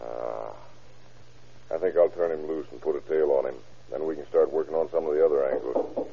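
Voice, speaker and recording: An actor playing a commanding, shrewd American police captain with a brusque interrogator's manner in an old-time radio crime drama. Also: male voice, very deep voice, fairly quick, background noise. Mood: pondering. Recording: tinny, rough old radio recording.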